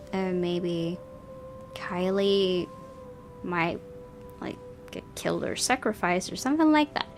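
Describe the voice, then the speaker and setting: A woman hosting a gaming video, reading visual novel narration aloud and commenting on it. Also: mid-range voice.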